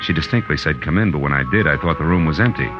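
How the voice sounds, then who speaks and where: An American actor playing a sardonic, weary private detective in 1940s radio style, wry, clipped and slangy. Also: male voice, extremely low pitch, fast. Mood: brooding narration. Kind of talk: 1940s radio detective acting, with first-person narration. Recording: muffled vintage radio recording with music.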